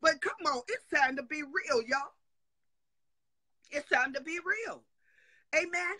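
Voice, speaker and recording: A woman's voice: high-pitched, slow pace, pushed and bright, no echo, studio quality. A middle-aged woman hosting a live stream, preaching with emphatic declarations and call-and-response asides. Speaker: host of a live prophetic talk stream. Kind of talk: fiery preaching-style talk. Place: indoor room at home. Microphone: webcam on a live video call.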